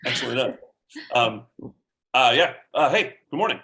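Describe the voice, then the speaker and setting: A man's voice: mid-range voice, expressive, moderate pace, pushed and bright, a little hiss or hum. A man in the audience asking a question in a friendly, informal and slightly hesitant way. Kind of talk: casual chat.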